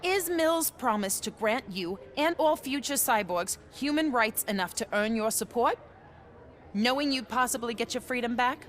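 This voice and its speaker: A woman's voice: on the high side, animated, moderate pace, a bit bright. An actress playing a composed, persistent news reporter in a game cutscene, articulate and formal as she puts pointed questions. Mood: probing.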